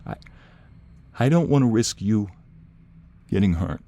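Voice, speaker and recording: A man playing a protective, defiant mobster devoted to his lover, acted with earnest emotion and dramatic pauses in an audio roleplay. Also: fairly low pitch, animated, mellow. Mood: worried. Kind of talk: audio roleplay romance acting.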